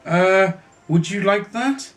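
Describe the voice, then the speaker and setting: Man reading as a nervous, courteous storybook gentleman. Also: high-pitched, takes it slowly, far from the mic, pitched above the performer's own voice. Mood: nervous, hesitant. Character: polite, nervous, hesitant married gentleman, a father.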